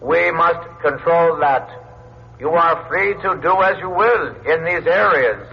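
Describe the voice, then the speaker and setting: An actor playing a courteous but imperious disembodied alien in a vintage radio drama, with formal, measured, pedantic diction. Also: male voice, fairly high pitch, animated, gravelly, husky, heavily acted. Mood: formal, controlling. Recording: dull, noisy old radio recording.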